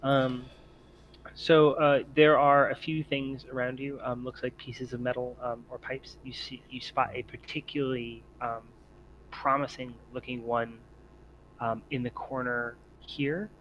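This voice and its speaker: A man with a bright, forward voice runs a tabletop game as its game master. He narrates and explains rules casually and haltingly. The sound is band-limited, with a faint noise and hum behind him. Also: medium pitch, little pitch movement, dull audio.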